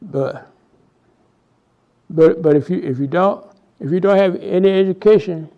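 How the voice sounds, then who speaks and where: Slow, halting man in his nineties. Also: high-pitched, vocal fry, muffled recording. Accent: Southern US, Texas. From Houston, Texas. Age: 90s. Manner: halting, many repeated words and restarts. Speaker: interviewee, oral history. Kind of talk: reminiscing oral history interview.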